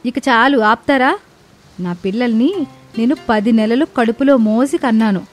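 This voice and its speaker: A woman playing a brave, determined young mother in an animated moral story, speaking firmly and earnestly. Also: a little high, normal speed, faint music behind, close to an everyday voice. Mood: firm lecturing. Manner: firm, earnest, lecturing.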